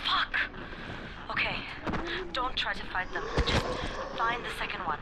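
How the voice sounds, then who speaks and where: A woman's voice: on the high side, unhurried, lightly acted. An actress voices a tough woman scout in an action game. She gives short, urgent instructions. Heavy noise surrounds her voice throughout. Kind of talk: dramatic game cutscene acting.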